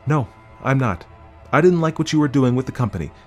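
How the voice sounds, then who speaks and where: Audiobook wife, played by a male narrator: defiant, indignant, pitched up high with swooping intonation. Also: animated, a little fast, darkish tone, soft background music, close to an everyday voice. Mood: defensive, pleading. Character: defiant, exasperated, environmentally minded wife.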